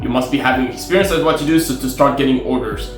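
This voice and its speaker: A man whose first language is Arabic chats to the camera in an easy, advice-giving way, often backing up to restart a phrase. His voice sits at a middle pitch and rings in a roomy space. Faint guitar music plays under him. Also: quick pace. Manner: conversational, repeats and restarts phrases, gives direct advice. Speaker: freelancer sharing advice. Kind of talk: casual talking-to-camera vlog.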